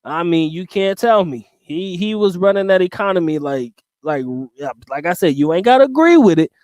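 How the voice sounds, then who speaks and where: A man's voice: high voice, lively intonation, normal speed, darkish tone, no room sound, clean studio sound. A middle-aged man telling stories in a loose, rambling way and talking straight to his viewers as a livestream host. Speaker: channel host reading live chat. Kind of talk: casual livestream storytelling.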